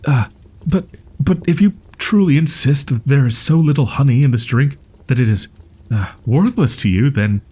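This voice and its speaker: Man playing a husky, halting, proud wolf-boy. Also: mid-range voice, animated, mellow. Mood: flustered, reluctant. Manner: archaic formal diction, halting, flustered stammers. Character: shy, proud tsundere young wolf-boy, wary of humans. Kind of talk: audio roleplay, character acting, ASMR.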